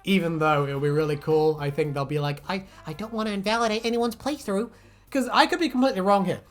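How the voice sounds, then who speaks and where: A woman's voice: mid-range voice, quick pace, soft background music. A woman chatting casually and jokily as a co-host, swearing freely and prone to giggling. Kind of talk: casual banter, reacting to fan comments.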